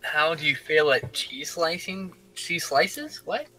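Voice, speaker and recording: A man's voice: fairly high pitch, big pitch swings, slow, sharp tone, soft background music, rough recording. A man who co-hosts a geek chat, talking casually and jokily, swearing freely as he explains things. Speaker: co-host of a weekly geek chat show. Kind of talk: casual joking group chat.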